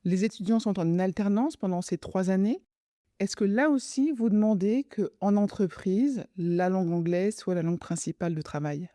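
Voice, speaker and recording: A woman interviewing a guest on a show about school choices, asking clear and direct questions. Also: mid-range voice, moderate pace, dark tone, no echo, clean studio sound.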